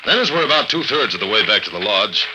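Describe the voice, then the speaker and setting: A man's voice: on the low side, big pitch swings, fast. A man playing a tough, blunt, sardonic investigator in an old-time radio drama. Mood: grim narration. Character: tough, hard-boiled male investigator, dry and commanding. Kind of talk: old-time radio drama acting with first-person narration. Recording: noisy old radio show recording.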